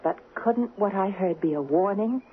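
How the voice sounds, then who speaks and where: A woman's voice: mid-range voice, expressive, normal speed, a little hiss or hum, lightly acted. An actress playing an earnest, romantic young woman in a 1970s radio drama. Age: young adult. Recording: muffled phone-like 1970s radio, music behind.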